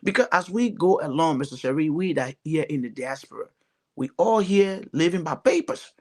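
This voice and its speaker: A man's voice: fairly high pitch, animated, normal speed, darkish tone. A man from Liberia with a Liberian accent, giving earnest, emphatic political commentary as a talk show panelist, often repeating phrases.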